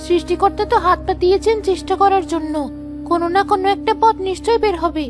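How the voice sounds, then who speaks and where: A woman plays a fisherman's loyal wife in a cartoon drama. Her voice is extremely high and quick, with an earnest, encouraging manner. Music plays clearly behind her. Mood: encouraging, resolute. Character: poor fisherman's devoted wife, brave and encouraging.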